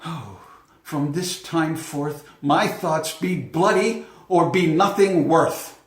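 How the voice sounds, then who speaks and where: A man's voice: a little high, slow pace. A man playing a brooding, self-reproaching young prince in an earnest, declamatory Shakespearean soliloquy. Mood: anguished, vengeful. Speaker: amateur actor. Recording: echoey room.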